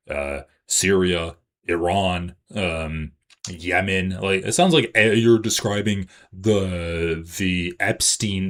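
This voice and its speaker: Low-voiced sarcastic male streamer. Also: fairly bright tone. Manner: profane, sarcastic, laughing. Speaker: livestream host. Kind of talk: casual political commentary.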